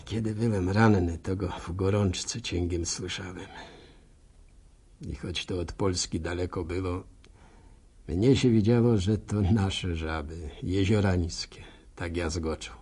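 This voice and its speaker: An actor playing a nostalgic village bachelor telling a radio serial story in folksy, musing, speech. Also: male voice, sits low, slow pace, a little mellow, slightly rough, heavily acted. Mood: fond, nostalgic. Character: nostalgic village bachelor narrator, war veteran.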